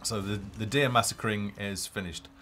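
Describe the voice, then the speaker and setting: Deep-voiced man presenting a game livestream. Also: fairly even intonation, moderate pace, a bit bright, slight background noise. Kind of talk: casual live game demo commentary. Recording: music behind the voice.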